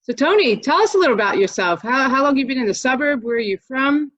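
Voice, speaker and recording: A woman's voice: high-pitched, lively intonation, fast, fairly bright tone. A woman hosting a casual interview, encouraging and enthusiastic, with chatty introductions and questions. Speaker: host. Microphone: video call. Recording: dull lo-fi video call audio.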